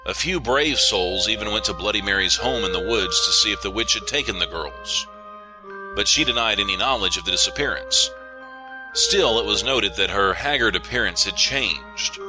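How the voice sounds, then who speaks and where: Low-voiced man narrating horror over music. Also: lively intonation, normal speed, rough recording. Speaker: podcast host and storyteller. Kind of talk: scripted horror story narration.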